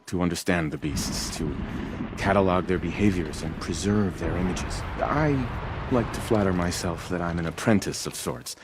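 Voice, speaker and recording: Earnest, low-voiced amateur naturalist, noisy background. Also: male voice, darkish tone. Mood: admiring. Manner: wonderstruck, halting, self-important musing with sighs. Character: earnest, eager self-taught male naturalist in a post-apocalyptic tribe. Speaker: non-player character in a video game. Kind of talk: video game dialogue acting.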